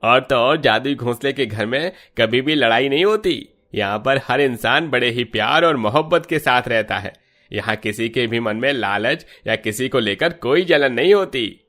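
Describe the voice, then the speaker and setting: A man's voice: mid-range voice, animated, moderate pace, fairly bright tone, close to an everyday voice. A man playing a kindly older villager in a Hindi cartoon story, proudly explaining things to a youngster.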